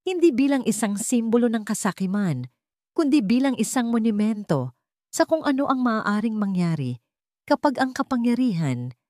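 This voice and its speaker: A woman reading a sentimental drama story as a scripted narration, in a measured, earnest storytelling manner. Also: mid-range voice, a little mellow, no echo, studio quality.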